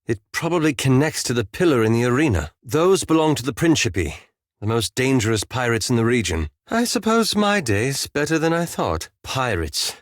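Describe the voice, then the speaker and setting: An actor playing a wry, anxious, fastidious elf wizard in a video game, with a dry, polite and hesitant manner. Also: male voice, medium pitch, sing-song, no room sound, lightly acted. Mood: wry, reflective.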